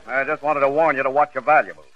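A man plays a confiding, friendly detective in a 1940s radio comedy. His mid-pitched voice is forward and bright, on a dry, slightly dull recording. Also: fairly even intonation, rapid-fire, dry recording, close to an everyday voice. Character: friendly, confidential bus-line detective warning travelers.

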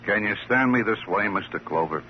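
An actor playing a melancholy, wry American small-time comic in an old radio drama, theatrical and self-pitying. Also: male voice, fairly low pitch, animated, fairly quick, soft background music, natural voice. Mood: pensive. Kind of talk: 1950s radio drama acting. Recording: muffled, slightly noisy old radio recording.

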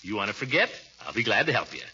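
An actor playing a pompous, jealous, amorous suitor in a classic radio comedy, blustering and easily indignant. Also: male voice, on the low side, big pitch swings, very fast, exaggerated voice. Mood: amorous, wheedling. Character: blustering, jealous, amorous middle-aged suitor, self-important commissioner. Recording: muffled old radio recording, loud noise.

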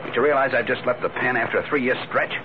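An actor playing a wary, resentful ex-convict in a 1940s radio drama, with a curt, defensive way of talking. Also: male voice, medium pitch, steady tone, fast talker, natural voice. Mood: irritated, defiant. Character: wary, resentful, short-tempered male ex-convict. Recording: muffled, noisy old radio recording.

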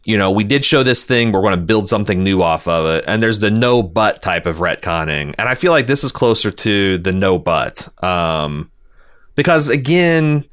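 A man with a fairly low voice hosts a casual discussion podcast. He thinks aloud, rambling and doubling back on his words as he works through an opinion or reads out feedback. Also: lively intonation, fairly bright tone, smooth. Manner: halting, rambling, repeats words as he reasons. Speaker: podcast host reading listener feedback.

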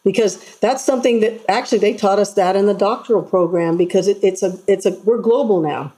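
Husky, mellow-voiced woman guest. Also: medium pitch, a little fast, low-quality recording. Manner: earnest, animated storytelling with acted-out voices. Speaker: interview guest. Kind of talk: casual interview chat.

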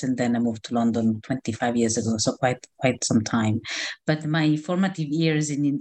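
A woman's voice: rumbling low, a little mellow. A middle-aged woman from Rome with an Italian accent, answering interview questions conversationally and searching for her words. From Rome, Italy. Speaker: interview guest, cookery writer.

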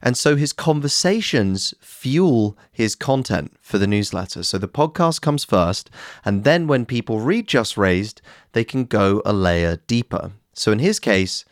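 Casting: male podcast host, lower register, lively melodic swings, instructive and direct, scripted solo delivery. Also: dry recording, clean studio sound. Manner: addresses listeners directly, explanatory, rhetorical questions.

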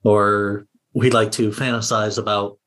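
Male guest podcaster, slightly low voice, relaxed conversational interview delivery. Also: a little slow. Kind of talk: casual interview chat.

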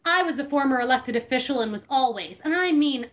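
A woman's voice: sits high, lively intonation, brisk, fairly bright tone. A woman hosting a podcast, giving a dramatic book reading with casual, amused asides and theatrical emphasis.

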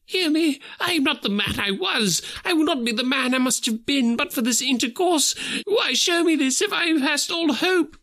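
A man voicing an old miserly gentleman who pleads in a high, strained voice. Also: lively intonation, normal speed, hardly any pauses, heavily acted. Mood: desperate. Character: old miserly man, terrified then overjoyed.

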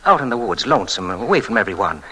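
An actor playing a cocky, unstable young murderer in an old-time radio thriller, insinuating and halting, repeating himself and swinging from soft to frantic. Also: male voice, fairly low pitch, big pitch swings, low-quality recording. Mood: sly, probing. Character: cocky, unstable young murderer, a former hotel page boy.

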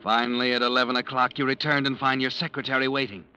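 Ominous taunting narrator, muffled radio. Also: male voice, medium pitch, flat delivery, fast, bright, forceful tone, faint music behind. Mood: ominous, insinuating. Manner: insinuating, ominous, second-person narration. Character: knowing, taunting male narrator addressing the guilty man. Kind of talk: old-time radio mystery narration. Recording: dull vintage radio recording.

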